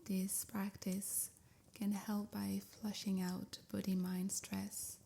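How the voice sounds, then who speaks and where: A woman softly guiding a sleep meditation in a breathy near-whisper, with soothing, measured phrasing. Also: mid-range voice, flat delivery. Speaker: meditation guide. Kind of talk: guided sleep meditation, scripted.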